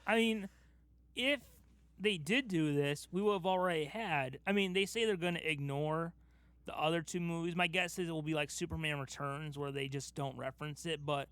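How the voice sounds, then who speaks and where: Emphatic, higher-pitched man podcasting. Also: a bit bright, dry recording. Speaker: podcast host. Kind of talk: casual fan discussion.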